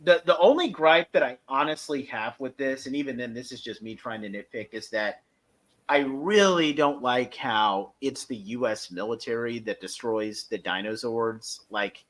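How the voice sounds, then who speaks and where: Guy podcaster, forceful bright voice, stop and start fan chat, punches some words. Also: mid-range voice, moderate pace. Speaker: podcast host reviewing a fan audio drama. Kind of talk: casual fan discussion.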